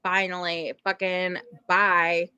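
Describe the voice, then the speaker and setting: A woman's voice: on the low side, level tone, takes it slowly, bright, forceful tone, smooth, highs cut off. A woman hosting a podcast, chatting casually about a TV show in a blunt, joking manner with plenty of swearing. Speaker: podcast host.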